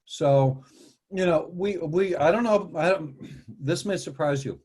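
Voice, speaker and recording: A man with a slightly raised, husky voice talks through ideas like a lecturer, wandering into asides as he goes. He is from the United States and speaks as a behavior analyst in a relaxed group chat. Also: on the high side, a little slow. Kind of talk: casual panel discussion.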